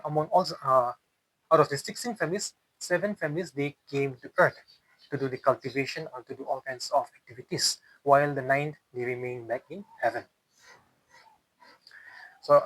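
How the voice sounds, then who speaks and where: A man's voice: on the high side, a bit bright, no echo, rough recording. A man with a Northeast Indian accent telling a story in an interview, explaining as he goes and often repeating and rephrasing his phrases. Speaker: interviewee telling a folk tale. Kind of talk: unscripted oral storytelling in an interview.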